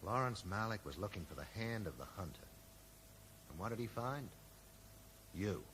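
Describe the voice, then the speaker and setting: A disillusioned, indignant man in a 1980s radio play. His voice sits low and moves expressively, with terse, probing, sardonic phrasing. Faint noise lies behind the recording. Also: lively intonation, normal speed, fairly bright tone. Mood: bitter, wary. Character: disillusioned, morally indignant man confronting his former best friend. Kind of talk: 1980s British radio drama acting.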